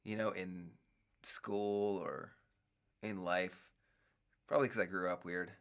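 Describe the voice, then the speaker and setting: A man's voice: low-pitched, steady tone, slow, gravelly, a bit bright. A middle-aged man hosting his own show, rambling casually with many restarts and fillers. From United States. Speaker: podcast host. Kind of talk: casual solo talk.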